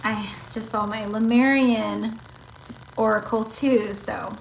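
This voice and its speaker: Chatty woman going a bit raspy. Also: on the high side, fairly even intonation, slow, noisy background. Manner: chatty and rambling, talks straight to viewers. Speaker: tarot reader hosting a livestream. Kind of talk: casual livestream chat.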